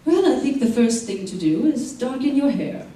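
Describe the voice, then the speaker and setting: A woman's voice: on the high side, big pitch swings, moderate pace, dark tone, slight background noise. A woman playing a composed, scheming salon owner in an old-time radio play on stage. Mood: smoothly businesslike. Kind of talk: old-time radio theater acting, narration and dialogue. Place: theater stage, recorded from the audience. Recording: very echoey theater, distant mic.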